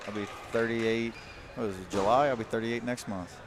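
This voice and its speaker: A man in his late thirties talking in a relaxed, modest way as a guest commentator beside the mat. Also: medium pitch, brisk, background noise. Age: 30s. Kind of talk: casual mat-side commentary. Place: grappling tournament mat-side.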